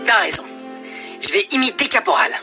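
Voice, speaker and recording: A mischievous put-upon cartoon dog, really expressive, loud music under it. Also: male voice, very high voice, fairly quick. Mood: scheming. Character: male pet dog, mischievous, long-suffering, cheeky. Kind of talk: animated cartoon acting.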